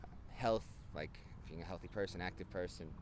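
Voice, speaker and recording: An American man from New Jersey, hosting a casual vlog and musing in a relaxed way, recorded on a handheld camera outdoors. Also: low-pitched, fairly quick. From New Jersey, United States. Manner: relaxed, musing, many "like" asides. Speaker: vlog host. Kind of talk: casual chat. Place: city street outdoors. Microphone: handheld camera at arm's length. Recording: outdoor city traffic noise.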